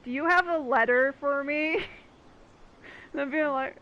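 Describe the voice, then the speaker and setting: A woman's voice: high voice, measured pace, lo-fi sound. A woman streamer giving casual, playful commentary on a video game, joking and acting out mock dialogue. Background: game music.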